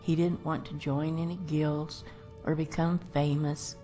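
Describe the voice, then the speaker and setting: A woman playing a feisty old grandmother adventurer in a video game, telling things in a folksy, unhurried way. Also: deep voice, level tone, warm tone, faint music behind. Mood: reflective storytelling. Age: older. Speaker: companion character in a game mod. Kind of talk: voiced game character dialogue, storytelling.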